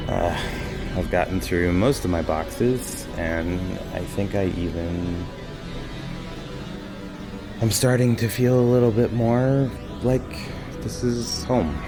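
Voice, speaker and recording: A man playing a hesitant, uneasy new homeowner in a fiction podcast, talking in a halting, casual way. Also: low-pitched. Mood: relaxed, tentative. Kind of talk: naturalistic fiction podcast acting.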